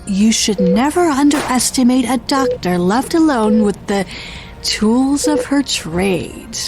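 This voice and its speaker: A woman's voice: a little high, expressive, slow pace, a little mellow, faint music behind. A woman playing a doctor who mocks and defies her captor. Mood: defiant. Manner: mocking and defiant. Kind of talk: indie audio drama acting.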